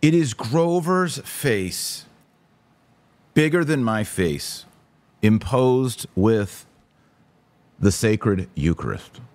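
A man's voice: medium pitch, animated, drawn-out words, pauses often, darkish tone, no room sound. A middle-aged American man hosting an opinion podcast, commenting emphatically with exasperation and personal anecdotes.

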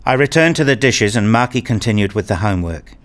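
A man's voice: lowish voice, animated, fast talker, lightly acted. A man playing a nerdy, wry father who narrates his own story in an audio drama. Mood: musing. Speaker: narrator and lead actor in a radio play. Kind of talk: first-person audio drama narration and dialogue.

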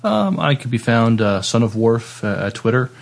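A man's voice: mid-range voice, sing-song, normal speed, creaky. A man speaking casually as a podcast co-host.

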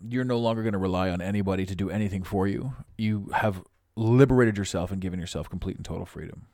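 Podcast host, raspy middle-aged man, deep and blunt, dead-dry sound. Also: a little mellow, no room sound. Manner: blunt, preachy, emphatic. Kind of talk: motivational monologue.

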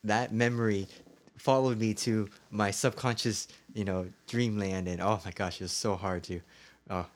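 Low-voiced man with dry self-mocking humor. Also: steady tone, moderate pace. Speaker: podcast co-host. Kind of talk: casual chat. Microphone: cheap makeshift mic.

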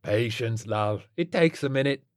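A man's voice: medium pitch, lively intonation, measured pace, dry recording, studio quality, natural voice. A man voicing a cheeky, carefree rock musician in a story, matey, teasing and crowing. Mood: cheerful, reassuring. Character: cheeky, carefree male rock musician, drug-pushing party animal.

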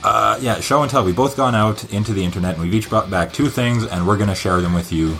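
A man hosting a podcast intro, explaining the format in a deadpan, mock-formal way. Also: fairly low pitch, fast, faint music behind. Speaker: podcast host. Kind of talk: earlier podcast episode intro.

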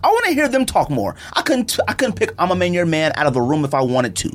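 A man's voice: on the high side, wildly swooping pitch, fast talker. A middle-aged man hosting a casual podcast debate, talking in an emphatic, opinionated, slangy way. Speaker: podcast host.

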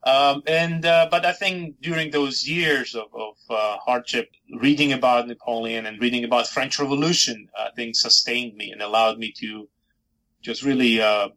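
A middle-aged historian from Georgia who grew up speaking Georgian, answering interview questions thoughtfully with many fillers and restarts. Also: male voice, medium pitch, steady tone, moderate pace, bright, forceful tone, low-quality recording. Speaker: historian and author.

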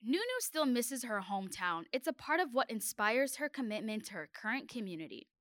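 A woman correspondent narrating an interview in a clear, measured, scripted way. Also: fairly high pitch, very animated, fairly bright tone, dry recording.

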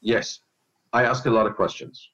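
A man's voice: medium pitch, steady tone, normal speed, a bit bright, muffled recording. A man from Germany, an executive coach answering interview questions conversationally and emphatically, repeating phrases to stress them.

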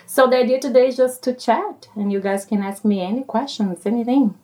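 A woman's voice: fairly high pitch, a little fast, mellow, dry recording. Brazilian woman hosting a podcast. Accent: Brazilian Portuguese. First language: Portuguese. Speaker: podcast host. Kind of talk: scripted podcast intro and casual chat.

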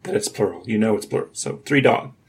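A man's voice: fairly low pitch, animated, quick pace, slightly rough. A man co-hosting a podcast, explaining things informally and articulately. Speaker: podcast co-host.

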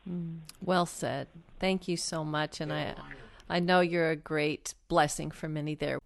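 A woman's voice: low voice, fairly even intonation, unhurried, gravelly, no room sound. A woman hosting a music show, interviewing in a conversational, polite and appreciative manner. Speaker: radio show host.

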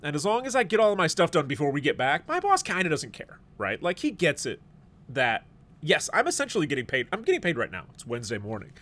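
A man's voice: fairly high pitch, huge pitch swings, fast, a bit bright. A middle-aged man hosting a podcast in casual chat, a bit stuffed up and sniffly, rambling and joking. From United States. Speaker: podcast host.

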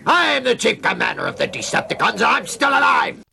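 An imperious cartoon robot warlord, very harsh and forceful. The high-pitched commands swing widely and are declaimed through a heavy rasping creak. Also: male voice, wildly swooping pitch, fairly quick, slight background noise, full character voice. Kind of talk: dubbed cartoon villain acting.

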